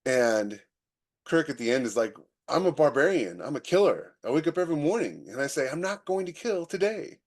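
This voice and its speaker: A man's voice: a little high, big pitch swings, brisk. An American man hosting a podcast in casual chat, opinionated and given to illustrating his points with anecdotes. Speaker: podcast host.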